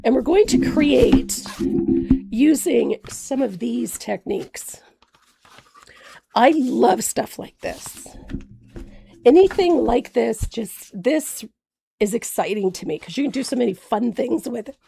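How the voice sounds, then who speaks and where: A hoarse woman with a gravelly voice, chatting with viewers by name while demonstrating mixed-media painting on a live stream. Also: on the high side, expressive, slow, long pauses. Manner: chatty, greets viewers by name, warm fillers. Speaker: craft painting instructor.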